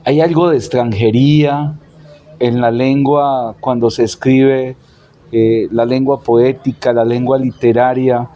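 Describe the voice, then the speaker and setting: A man's voice: medium pitch, warm tone. A man asking long, elaborate interview questions.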